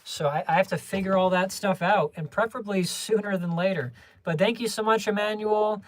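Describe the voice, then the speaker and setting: A young adult livestreamer chatting casually with viewers, rambling through many restarts and small laughs in a low-key, homebody way. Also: fairly quick, no echo.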